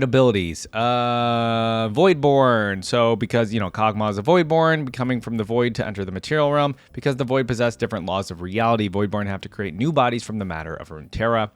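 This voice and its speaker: A man's voice: fairly low pitch, dry recording. A young man hosting a casual reaction video, reading a wiki aloud and stopping to react and chuckle. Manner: chatty and musing, breaks off reading to react, chuckles.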